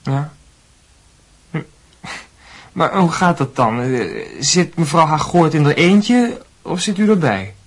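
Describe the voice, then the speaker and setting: A young student in a Dutch radio drama. His voice is husky and a little high. He questions bluntly and skeptically, with an awkward, stammering edge. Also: very animated, slow, pauses often, exaggerated voice. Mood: skeptical, probing. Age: young adult. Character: young male medical student, awkward, inquisitive, skeptical son.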